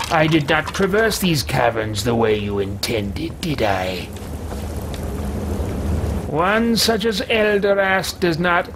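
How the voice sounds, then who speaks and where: A man's voice: high-pitched, noisy background, heavily acted. Man playing a pompous old fantasy adventurer, swooping theatrical delivery. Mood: grandly smug. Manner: pompous, theatrical, archaic phrasing. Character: haughty, easily frustrated old male fantasy adventurer. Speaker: gaming streamer roleplaying a character. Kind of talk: in-character roleplay game commentary. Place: small room with packed shelves, indoors.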